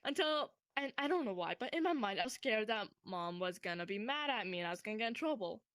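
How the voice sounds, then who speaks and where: A young woman with a fairly high voice tells a story casually to a friend. Her intonation swings widely as she rambles and curses freely. The sound is dry, with very little room echo. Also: fast. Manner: animated, profane, rambling.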